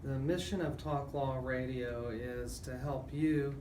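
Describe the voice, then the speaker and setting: Male radio host, medium pitch, deliberate delivery, roomy and off-mic. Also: steady tone. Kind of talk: radio talk show hosting. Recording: roomy echo, distant mic, noisy background.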